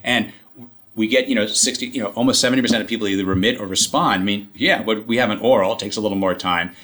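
A man, a company chief telling his story in a casual interview, halting and restarting often, with dry humor. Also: on the low side, a bit bright. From New York, USA. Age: 60s. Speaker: guest, company CEO.

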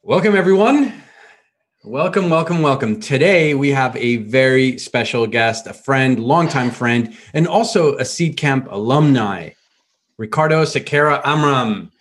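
A man's voice: medium pitch, lively intonation, a little slow. A man hosting a podcast, friendly and upbeat as he welcomes and introduces a guest. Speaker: podcast host. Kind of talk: podcast interview.

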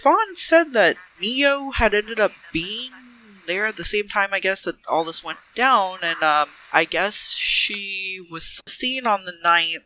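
A man's voice: high voice, animated, takes it slowly, few pauses, pushed and bright, slight background noise, rough recording. A man playing a tabletop role-playing game, improvising casual dialogue with polite, hedging questions.

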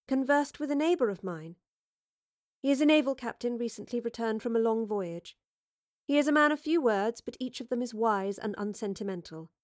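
A stern, prim widow in an audio drama, formal and measured, voiced by a woman. Her tone is clipped and reserved, recorded dry and close. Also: fairly high pitch, dry recording, close to an everyday voice.

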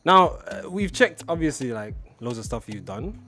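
A playful radio host chatting with a guest, full of slang and teasing asides. His pitch swings up and down freely as he talks. Music plays clearly beneath his voice in the studio. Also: sing-song, normal speed. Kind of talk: casual interview chat.

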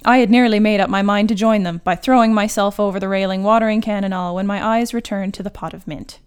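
A woman writer reading her own literary short story in a measured, articulate and wry manner. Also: mid-range voice, steady tone, clear, resonant tone.

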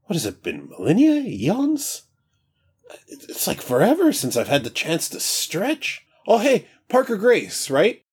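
A man voicing a chatty ancient male god-king who stammers and rambles with breezy excitement. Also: sits high, unhurried, breathy edge, voice pushed up, heavily acted. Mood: excited, breezy.

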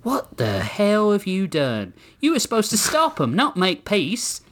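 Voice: medium pitch, big pitch swings, moderate pace, fairly bright tone. A performer voicing a tough frontier gunslinger woman, blunt and exasperated, in a story reading.

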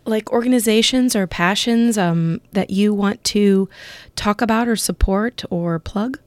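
A woman's voice: medium pitch, unhurried, no room sound. A woman interviewing a guest in a casual, encouraging way, often adding small listening sounds.

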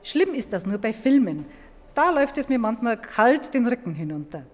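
Female paleontologist, higher register, informal and emphatic explainer for young listeners, long museum reverb. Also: big pitch swings, moderate pace, darkish tone. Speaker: museum paleontologist, guest expert. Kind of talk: explaining science to children in an audio play.

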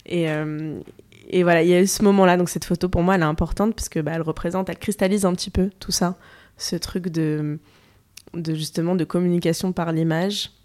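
Young female artist from France; unhurried, reflective, thinks aloud; low register. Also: fairly even intonation, darkish tone. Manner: reflective, many fillers and restarts, thinking aloud. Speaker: photographer and artist, interview guest. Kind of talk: casual interview conversation.

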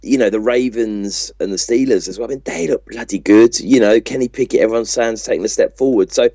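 A man's voice: medium pitch, brisk, mellow, husky, dry recording. A man co-hosting a sports podcast, giving casual analysis in a conversational way. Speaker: podcast co-host. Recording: slightly dull, muffled audio.

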